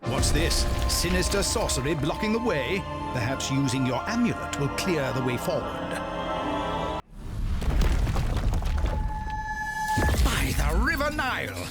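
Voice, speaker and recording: A pompous British adventure-serial announcer for a video game. He is plummy and melodramatic, pitched fairly high and swooping wildly from line to line in 1930s newsreel style. Prominent music plays behind him. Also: big pitch swings, normal speed. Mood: theatrically puzzled. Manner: plummy, melodramatic, heavily alliterative delivery. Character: excitable pompous old-time British adventure serial narrator, male.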